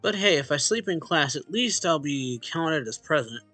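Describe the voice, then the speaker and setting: A man's voice: a little high, expressive, moderate pace, pushed and bright, soft background music. A man hosting a let's play, reading a visual novel's narration and dialogue aloud and casually acting out the lines.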